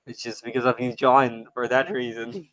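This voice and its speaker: Halting man hosting a live stream. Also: mid-range voice, little pitch movement, fairly bright tone. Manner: halting, repeats words, non-native grammar. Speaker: host. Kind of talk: casual live-stream chat.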